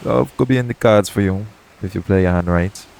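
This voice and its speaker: A man playing a shady, jaded yet tender pirate captain in an intimate audio roleplay, talking colloquially and frankly, with gentle teasing. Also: on the low side, brisk. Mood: frank, resigned. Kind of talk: intimate audio roleplay acting addressed to the listener. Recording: clear background noise, ambient sound bed.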